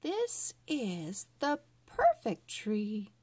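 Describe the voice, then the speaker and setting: A woman voicing a practical, reassuring mother in a Christmas storybook, with measured, careful phrasing. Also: medium pitch, huge pitch swings, a little mellow, husky, heavily acted. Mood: pleased.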